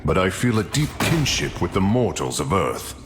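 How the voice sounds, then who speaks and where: A man's voice: low-pitched, sing-song, moderate pace. A man playing a noble Norse warrior god in a game, speaking in a stately, archaic, declamatory way. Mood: earnest. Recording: noisy battle ambience.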